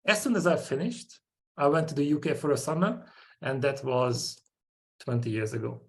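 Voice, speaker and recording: A Hungarian-accented man in his forties presents a business webinar. His voice sits on the higher side for a man. He speaks in short, punchy sentences and often asks rhetorical questions. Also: normal speed.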